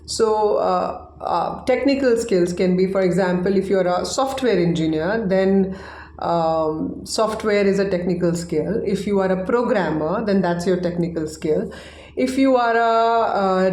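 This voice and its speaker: A woman's voice: mid-range voice, darkish tone. A woman whose first language is Hindi, teaching a casual online English lesson in a chatty way and often checking in with "right?". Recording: echoey room.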